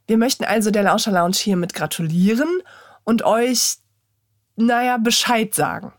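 A woman's voice: a little high, normal speed, dry recording, clean studio sound. A woman hosting a podcast, reading a scripted announcement. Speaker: podcast host.

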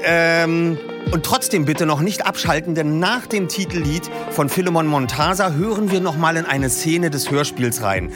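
A man hosting a podcast, wrapping up and making announcements in a relaxed, chummy way. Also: fairly high pitch, moderate pace. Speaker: podcast host. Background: music.

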